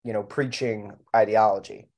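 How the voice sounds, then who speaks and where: A man co-hosting a podcast in casual discussion, speaking haltingly and doubling words for emphasis. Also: on the low side, steady tone, a little mellow. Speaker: podcast co-host.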